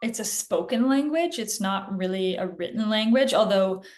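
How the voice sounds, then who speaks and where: A woman physician answering interview questions in a casual, chatty way, often pausing with "like" and "um", heard over a video call. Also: mid-range voice, lively intonation, darkish tone. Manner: chatty, filler-heavy, frequent "like" and "um". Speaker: guest physician.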